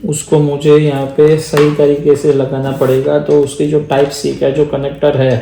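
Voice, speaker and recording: A man's voice: on the high side, dark tone, echoey room. A man giving a casual hands-on tutorial, explaining step by step as he works and mixing in English phrases. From India. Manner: explains step by step while working. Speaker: moto vlogger giving a setup tutorial.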